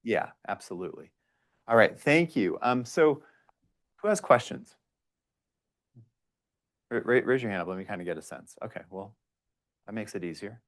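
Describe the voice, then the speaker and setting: Deep-voiced man moderating, informal and tentative. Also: animated, normal speed, pauses often. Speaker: panel moderator. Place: indoor public panel discussion at a library.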